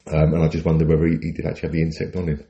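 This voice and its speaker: A man's voice: extremely low pitch, monotone, rapid-fire, vocal fry, mellow, highs cut off. A British man chatting casually as a podcast co-host, telling anecdotes in a wry, rambling way.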